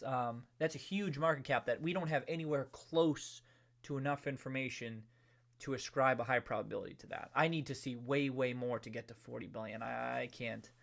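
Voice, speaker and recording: A man's voice: medium pitch, gravelly. A man, hosting a livestream and chatting loosely with viewers, with many restarts and trailing phrases. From New England. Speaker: livestream host. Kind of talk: casual livestream chat reading viewer comments.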